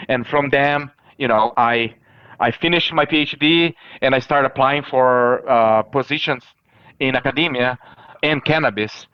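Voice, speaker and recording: A man in his thirties from Brazil with a Brazilian accent, a professor answering interview questions in halting speech full of fillers and restarts. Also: medium pitch, smooth, highs cut off. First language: Portuguese. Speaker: professor guest.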